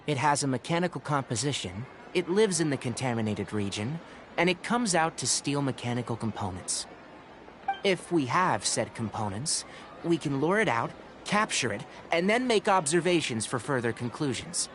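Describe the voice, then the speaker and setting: An actor playing an aloof, analytical young forest ranger in a video game, speaking in a measured, precise, formal way. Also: male voice, medium pitch, very animated, noisy background, close to an everyday voice. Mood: analytical, detached. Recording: game music behind.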